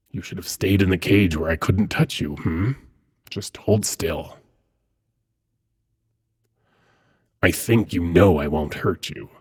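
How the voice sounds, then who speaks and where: A man voicing a teasing, seductive, gently menacing demon prince in an intimate ASMR roleplay, husky and breathy, often close to a whisper, with silky, unhurried delivery. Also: low-pitched, heavily acted.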